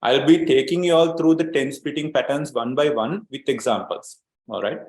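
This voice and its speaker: Sri Lankan-born agile coach, echoey room. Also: male voice, medium pitch, normal speed. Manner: steady explaining, frequent "um" and "uh" fillers, repeats words. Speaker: agile coach leading a webinar. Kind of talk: online training webinar presentation.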